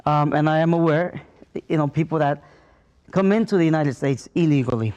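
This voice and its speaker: A man's voice: on the high side, brisk, a little mellow, muffled recording. A man from Mexico, a guest answering questions hesitantly, with many fillers.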